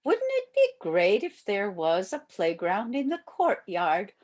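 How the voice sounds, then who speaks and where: Determined little girl read by a woman for a picture book: very animated, drawn-out, earnest appeals. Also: medium pitch, heavily acted. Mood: eager. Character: eager, hopeful, determined young girls with big ideas.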